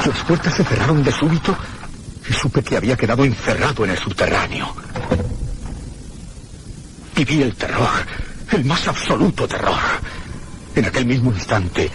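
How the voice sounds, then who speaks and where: A man's voice: mid-range voice, lightly acted. A man playing a frightened narrator of a horror radio play, telling his tale with solemn, suspenseful, emphatic phrasing. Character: frightened man recounting his descent into a haunted crypt. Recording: clear background noise, radio-play ambience.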